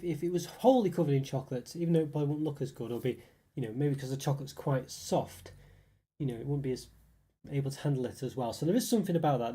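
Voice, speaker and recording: A man's voice: mid-range voice, warm tone. A man with a congested voice from a cold, reviewing snacks in a rambling, casual live stream full of fillers. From United Kingdom. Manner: rambling, hedging, many fillers. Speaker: YouTube food reviewer.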